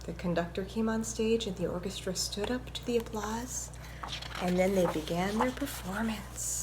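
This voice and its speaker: Female children's presenter, mid-range voice, gentle and encouraging read-aloud and teaching delivery, light noise behind. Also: medium pitch, normal speed. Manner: gentle, encouraging, instructive, addressing young children. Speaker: violinist presenting a children's story time. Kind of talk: picture book read-aloud and music lesson for children.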